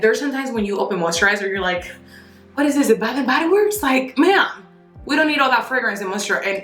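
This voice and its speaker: A woman's voice: mid-range voice, reverberant. A young woman reviewing skincare products in a casual, candid chat, speaking to a camera close in front of her at home. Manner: chatty, candid, animated asides. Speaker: beauty vlogger. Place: home bathroom, indoors.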